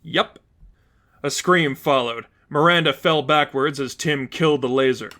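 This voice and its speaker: A man's voice: mid-range voice, moderate pace, bright, forceful tone. A man reading as narrator, delivering scripted fiction in a storytelling style.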